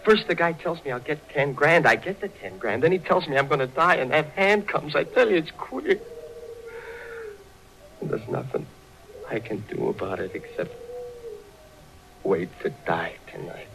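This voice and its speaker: A man's voice: on the high side, sing-song, normal speed, creaky, pauses often, poor audio. An American actor playing a doomed, slangy tough-guy killer who is cracking up with fear in a 1940s radio thriller. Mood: grim, haunted. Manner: slangy, jittery, emphatic repeating of phrases. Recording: vintage 1940s radio recording.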